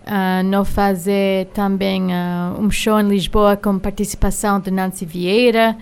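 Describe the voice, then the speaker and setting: A woman with an American accent, a singer speaking haltingly as a radio studio guest, pausing often with filler sounds. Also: mid-range voice, little pitch movement, darkish tone, smooth. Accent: American English. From United States. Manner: hesitant, many filler sounds while finding words. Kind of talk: radio interview.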